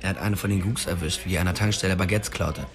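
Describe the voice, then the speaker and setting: Fast-talking hot-headed young male sidekick. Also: low voice, steady tone. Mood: agitated. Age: young adult. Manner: blunt, slangy, pushy. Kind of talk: radio drama dialogue. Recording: music behind the voice.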